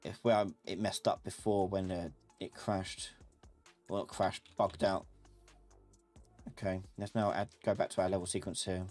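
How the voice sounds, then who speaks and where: Lowish voiced guy doing a live software tutorial, casual, thinking out loud to himself, bit of music and typing behind. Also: level tone, moderate pace. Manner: informal, thinking aloud, talking to himself. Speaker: instructor of a live online class. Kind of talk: live software tutorial, explaining while working.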